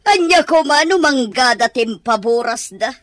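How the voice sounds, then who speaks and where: A woman's voice: fairly high pitch, animated, normal speed, no echo, close to an everyday voice. A woman playing an inquisitive, skeptical village matron with an Ilocano accent in a radio comedy.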